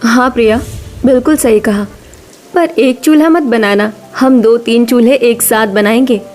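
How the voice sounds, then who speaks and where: A woman voicing a practical, organised elder daughter-in-law in a cartoon, brisk and agreeable. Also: on the high side, a little mellow, close to an everyday voice.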